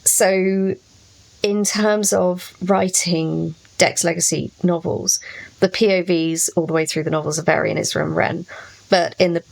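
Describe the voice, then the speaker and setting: Female author on a panel, lowish voice with vocal fry, conversational delivery. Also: fairly even intonation, unhurried, rough recording. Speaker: novelist and panellist. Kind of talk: casual discussion of own writing.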